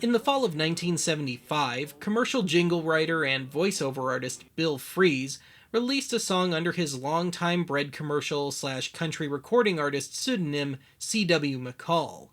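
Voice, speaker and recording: A man narrating a scripted documentary as its host, with wry, deadpan humour. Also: fairly high pitch, moderate pace, hardly any pauses.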